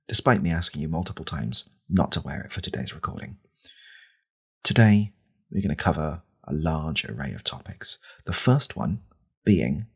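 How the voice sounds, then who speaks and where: A man playing an angry, pompous right-wing podcast host in a deadpan parody monologue, with a deliberate, conspiratorial manner. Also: full character voice. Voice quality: very deep, gravelly, husky.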